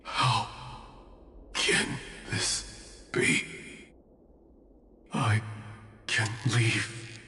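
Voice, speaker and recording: A man acting in a video game as a mortally wounded fighter, pleading in halting, anguished, broken phrases, echoing and distant. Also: fairly low pitch, bright, forceful tone, slight background noise, heavily acted. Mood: desperate.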